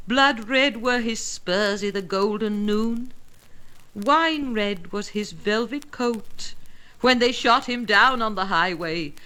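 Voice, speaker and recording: Slow, dramatic woman reading poetry. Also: medium pitch, poor audio. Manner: measured and expressive, with deliberate pauses and emphatic repetition. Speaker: poetry reader.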